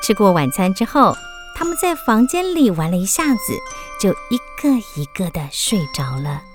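A woman's voice: mid-range voice, very animated, normal speed, soft background music. A woman with a Taiwanese Mandarin accent, narrating a scripted story for children.

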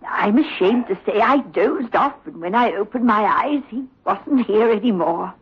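A woman playing a fussing, soothing middle-aged aunt with a husky voice in a 1960s radio drama. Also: mid-range voice, lively intonation, moderate pace. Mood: reassuring. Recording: muffled old-time radio recording.

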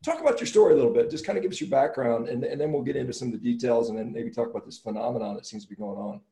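A man's voice: medium pitch, animated, very fast, creaky, mellow. An American man in middle age hosting a casual podcast interview, talking in an informal, rambling way. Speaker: podcast host. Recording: roomy, echoing sound.

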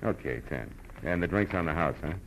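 A man's voice: rumbling low, lively intonation, fairly quick, a bit bright, slight background noise, heavily acted, rough recording. An American actor playing a wry, hard-boiled private detective in clipped, tough 1940s radio style, narrating and talking through his case. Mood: probing, cool. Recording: old radio recording, music behind.

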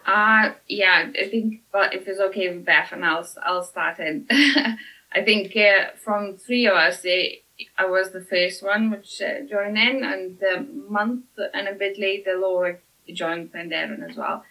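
A woman from Lithuania with a Lithuanian accent, speaking as a podcast guest with many halting "uh" fillers. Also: medium pitch, bright, forceful tone, poor audio. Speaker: master blender at a whisky distillery.

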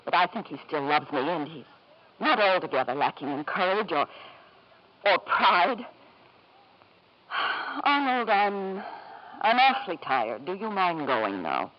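A woman's voice: on the low side, big pitch swings, unhurried, pauses often, dull audio. An actress playing a bitter, weary wife and anxious mother in a 1950s radio drama, formal and emotional, on an old broadcast recording.

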